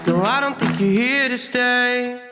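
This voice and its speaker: A woman's voice: fairly high pitch, unhurried, loud music behind the voice. A woman singing a pop song as the intro track of a video. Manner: sung vocal in an intro song.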